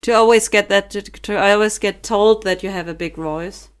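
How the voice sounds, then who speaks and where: A woman co-hosting a podcast about singing, asking measured, clear questions and chatting casually. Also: mid-range voice. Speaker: podcast co-host. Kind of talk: scripted interview questions, then casual chat.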